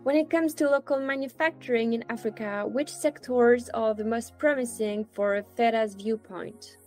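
A woman hosting a podcast, reading a smooth scripted introduction and questions. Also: fairly high pitch, normal speed, darkish tone, soft background music. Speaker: podcast host.

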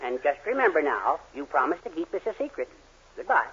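An actor playing a vain, blustering middle-aged father with a husky voice in a 1940s radio comedy. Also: a little high, sing-song, brisk, background noise. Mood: indignant. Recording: muffled 1940s radio recording.

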